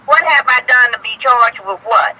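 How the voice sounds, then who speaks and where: A woman's voice: a little high, normal speed, sharp tone. A middle-aged woman in a heated phone conversation, indignant and insistent, repeating herself as she protests. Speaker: prank call target. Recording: phone line with faint noise.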